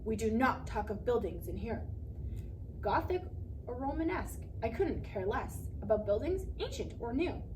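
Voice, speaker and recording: A woman's voice: on the high side, sing-song, moderate pace. Stern, dramatic schoolteacher, acted by a woman reader. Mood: stern, dismissive. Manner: scolding, emphatic, melodramatic. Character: stern, dramatic female second-grade schoolteacher. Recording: noisy, distant microphone.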